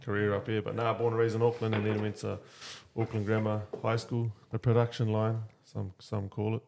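A man in his thirties with a New Zealand accent, chatting as a podcast guest. His voice sits fairly low and has a gravelly, creaky edge. He tells his stories in a relaxed, joking way and pokes fun at himself. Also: brisk, background noise. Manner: laid-back, joking, self-deprecating yarns. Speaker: podcast guest, former rugby player. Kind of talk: casual chat.

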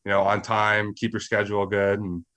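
A man's voice: fairly low pitch, level tone, normal speed, fairly bright tone. A man co-hosting a podcast, asking long winding questions in a casual way. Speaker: podcast co-host.

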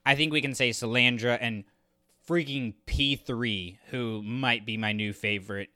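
A man's voice: mid-range voice, steady tone, a little slow, pushed and bright. A man co-hosting a podcast, discussing stories in a casual, opinionated, conversational way. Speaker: podcast co-host.

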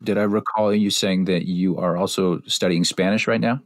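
A man's voice: low voice, fairly even intonation, normal speed, dry recording, clean studio sound. A middle-aged man interviewing a guest, curious and chatty, asking long questions. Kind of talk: conversational interview.

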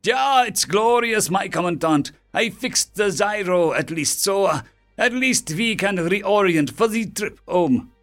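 High, chirpy German otter engineer played by a man. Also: animated, unhurried, fairly bright tone, soft background music. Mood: cheerful pride. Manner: chirpy, formal, clipped phrasing. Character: eager male otter engineer with foreign-tinged speech.

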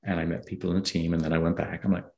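A man from the UK, a guest in a casual interview, telling his career story with lively acted-out quotes. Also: sits low, racing, dull audio. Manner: animated storyteller, acts out quoted dialogue.

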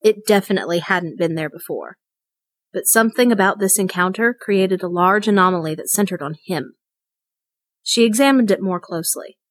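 A woman's voice: on the low side, no room sound. A woman reading a short story aloud as scripted narration. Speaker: story reader.

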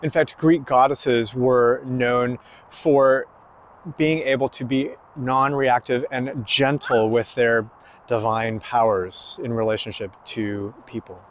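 A man preaching a sermon slowly and emphatically, pausing between phrases. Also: mid-range voice, steady tone, a little hiss or hum. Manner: slow, emphatic preaching with long pauses.

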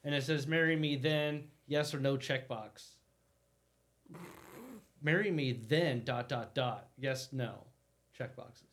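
A man's voice: mid-range voice, takes it slowly, pauses often, a bit bright. A man co-hosting a podcast, telling a story casually and bluntly with some swearing. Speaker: podcast co-host.

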